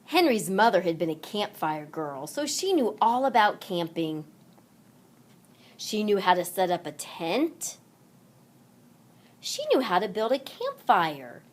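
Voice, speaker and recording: A woman narrating a children's read-along story aloud in an expressive, deliberate manner. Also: mid-range voice. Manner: deliberate, animated delivery for children.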